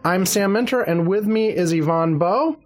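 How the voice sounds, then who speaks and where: A man's voice: high voice, moderate pace. A man hosting a podcast in casual chat, making dry jokes and steering back to the agenda. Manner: dry joking, frequent "yeah" and "anyway". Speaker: podcast host.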